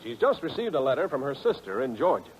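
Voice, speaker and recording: A man's voice: mid-range voice, big pitch swings, quick pace, breathy edge. A man announcing from a script in the style of 1940s radio. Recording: muffled vintage radio recording. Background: loud orchestral music.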